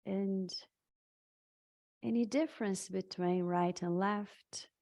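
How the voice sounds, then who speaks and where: Very slow, creaky-voiced woman guiding meditation. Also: medium pitch, fairly even intonation, warm tone. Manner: soothing, unhurried, halting with long pauses. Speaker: meditation guide. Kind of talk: live guided meditation.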